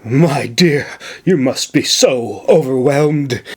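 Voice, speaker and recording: A man voicing an excitable, pompous male governor in an audiobook, indignant and fussy. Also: medium pitch, takes it slowly, husky. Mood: blustering. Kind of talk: audiobook character voice.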